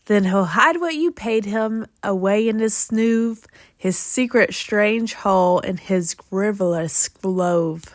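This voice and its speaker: A woman reading a rhyming children's story aloud in an expressive, theatrical, rhythmic style. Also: mid-range voice, slow, no echo, studio quality. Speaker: children's book reader.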